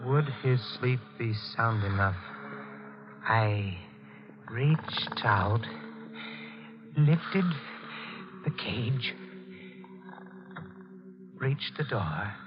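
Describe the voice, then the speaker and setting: An actor playing a guarded, brooding married man who narrates his own dark crime in an old radio thriller, evasive and haunted. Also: medium pitch, very slow, pauses often. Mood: brooding, tense. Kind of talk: 1940s radio thriller acting, first-person narration. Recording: muffled old radio recording with music.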